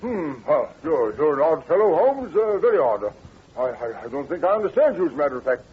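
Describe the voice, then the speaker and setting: A man's voice: on the high side, big pitch swings, brisk. A man playing a stuffy, dim-witted aging duke with an upper-class British accent, stammering and blustering pompously in an old-time radio drama. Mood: baffled. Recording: noisy, dull old radio recording.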